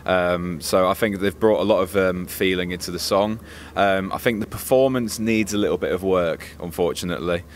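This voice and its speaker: Low-voiced man, measured and diplomatic. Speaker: reviewer, co-presenter. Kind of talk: casual spoken review. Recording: faint background ambience noise.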